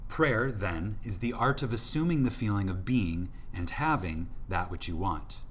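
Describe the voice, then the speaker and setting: A man reading an audiobook from a script in an emphatic, deliberate manner, with dramatic pauses for effect. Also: on the low side, animated, a bit bright, a little hiss or hum. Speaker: audiobook narrator.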